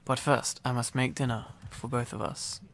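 A man's voice: medium pitch, level tone, normal speed, gravelly, fairly bright tone, a little hiss or hum. A man playing a needy, silly, affectionate boyfriend in an intimate ASMR roleplay, rambling and teasing playfully. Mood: relaxed, teasing.

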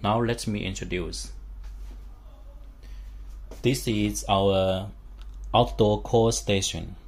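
A man giving a hands-on instructional demonstration as a product demonstrator, speaking haltingly in short phrases in a small room. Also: on the low side, vocal fry. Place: small indoor room with a work table. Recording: steady background room noise.

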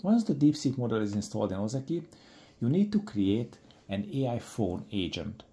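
Mellow middle-aged man with swooping pitch. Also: big pitch swings, moderate pace. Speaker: presenter. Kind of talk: scripted software tutorial narration.

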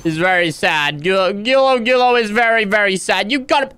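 High, bright, frantic young gamer man. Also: brisk, smooth. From Australia. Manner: loud, dramatic, squealing yelps and groans. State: starting to lose his voice. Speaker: gaming YouTuber. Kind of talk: excited gameplay commentary.

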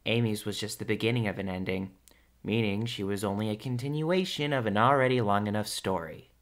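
A man's voice: fairly low pitch, a little fast, close to an everyday voice. A man playing a brash, teasing young cartoon hedgehog hero in a casual fan audio drama. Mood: weary.

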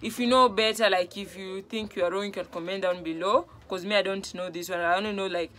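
A woman's voice: mid-range voice, fairly even intonation, quick pace, fairly bright tone. A young Kenyan woman, a native Luo speaker, explaining phrases casually to camera in a conversational, repetitive way, often checking that she is understood. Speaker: vlog host teaching phrases. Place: small room at home, on a couch.

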